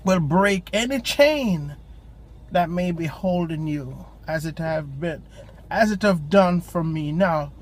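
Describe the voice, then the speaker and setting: A lay preacher with a strong Jamaican accent gives an informal devotional talk from a parked car, pausing and restarting often and lifting the voice when reciting scripture. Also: mid-range voice, expressive. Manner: halting, with frequent pauses and restarts.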